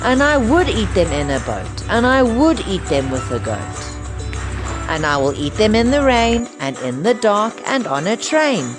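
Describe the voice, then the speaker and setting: A woman reading a rhyming picture book aloud to young children in an expressive, sing-song, emphatic way. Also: medium pitch, unhurried, over music.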